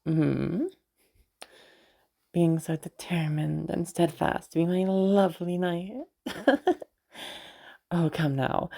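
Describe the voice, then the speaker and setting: Young noblewoman for audio roleplay played by a woman: very deep for a female voice, gravelly with heavy fry, fond, teasing, hesitant. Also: full character voice. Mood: flustered, admiring. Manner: hesitant, halting, with soft chuckles and sighs. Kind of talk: roleplay audio drama, intimate conversational acting.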